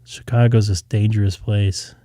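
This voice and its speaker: A man's voice: on the low side, little pitch movement, moderate pace, warm tone. A man hosting a ham radio live stream, talking casually and a bit tired, with lots of fillers. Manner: tired, loose, chatty with many fillers.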